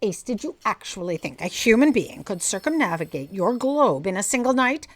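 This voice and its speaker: A woman's voice: medium pitch, big pitch swings, moderate pace. A woman playing an impatient, imperious boss in a comic audio drama, curt, bossy and exasperated. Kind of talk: audio drama comedy acting.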